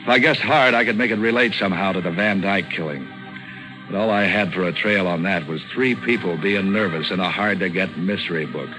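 A man playing a wry, deadpan, wisecracking hard-boiled detective in a 1940s radio noir drama. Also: deep voice, fairly quick, close to an everyday voice, poor audio. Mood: wry, guarded. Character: hard-boiled, wry male detective narrating his case. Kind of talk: 1940s radio noir acting and first-person narration. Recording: old 1940s radio recording, music behind.

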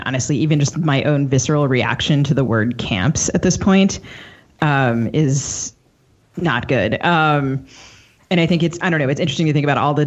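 Female podcast co-host, thoughtful and searching delivery, slightly muffled lo-fi sound. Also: a bass voice. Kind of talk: thoughtful interview questioning. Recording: dull, lo-fi sound.

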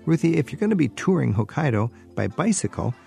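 A man's voice: on the high side, very animated, fairly quick, creaky, mellow, faint music behind. A middle-aged man hosting a travel radio show, asking curious, conversational questions in an interview.

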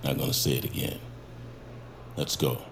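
A man playing a gruff, impatient, bullying asylum orderly, curt and commanding, in an audio drama. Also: extremely low pitch, level tone, moderate pace, heavy background noise.

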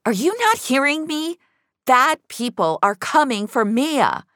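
A woman acts out a tough, sardonic heroine of an audio drama. She can turn halting and socially awkward or dryly defiant. Her pitch moves around in a lively way, in a dry, close recording. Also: no echo, exaggerated voice. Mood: urgent, assertive.

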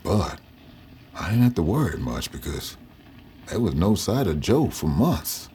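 A man's voice: low-pitched, very animated, measured pace, warm tone, slight background noise. An actor playing a folksy Southern man telling grim memories in a matter-of-fact way for an audio drama. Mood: reflective. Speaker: narrator telling his story to an interviewer. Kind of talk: audio drama first-person storytelling.

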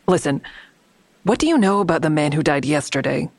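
An actress playing a wry, persistent amateur investigator in an audio drama, speaking in a matter-of-fact, persuasive way. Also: female voice, low-pitched, animated, fairly quick, a little mellow, no echo. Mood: persuasive, earnest. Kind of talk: naturalistic audio drama dialogue.

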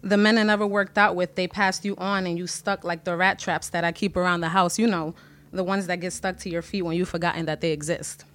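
A woman performing spoken word poetry at a reading, slow and measured. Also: fairly low pitch, steady tone, a bit bright. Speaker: poet reader.

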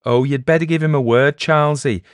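A man's voice: on the high side, brisk, dry recording, clean studio sound, pitched above the performer's own voice, close to an everyday voice. A man voicing a fretful, deferential old villager in a storybook tale, speaking urgently. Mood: worried. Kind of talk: storybook character voice in a narrated tale.

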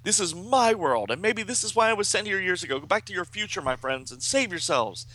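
A desperate young superhero from a comic book, acted out by a man, pitched up and sharp. He cries out in halting, breathless bursts, melodramatic and urgent. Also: expressive, heavily acted, poor audio. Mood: pleading, resolute. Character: anguished teenage male superheroes crying out urgently. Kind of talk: dramatic comic book read-aloud.

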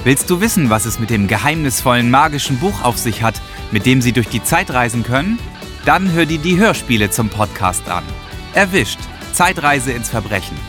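A man of average pitch reads a scripted promo as an announcer. Plucked guitar music plays clearly behind him. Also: animated, moderate pace.

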